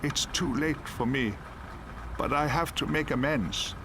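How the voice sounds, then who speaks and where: A man voicing a dying old councillor in a bedtime story, weak, halting and labored, full of guilt and pleading. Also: a little high, voice pushed up, lightly acted. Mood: weak remorseful. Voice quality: breathy, labored. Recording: steady rain noise behind the voice.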